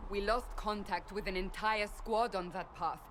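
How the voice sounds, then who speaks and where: A woman's voice: medium pitch, fairly even intonation, moderate pace, a bit bright, a little hiss or hum, lightly acted. An actress playing a stern, blunt woman warrior in a fantasy video game, giving clipped commands. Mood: firm. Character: stern, forceful woman warrior and holy seeker. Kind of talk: dramatic video game acting.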